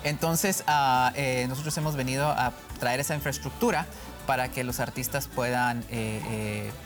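A man from Guatemala whose Spanish carries a Mexican tinge answers in an interview, at an ordinary pitch for a man. He often trips over his words, repeating and restarting before he gets the point out. Music plays clearly behind him. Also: steady tone, normal speed. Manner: hesitant, many restarts and fillers.

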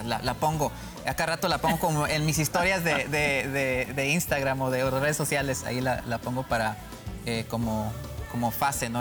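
A man from Guatemala whose Spanish carries a Mexican tinge answers in an interview, at an ordinary pitch for a man. He often trips over his words, repeating and restarting before he gets the point out. Music plays clearly behind him. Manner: hesitant, many restarts and fillers.

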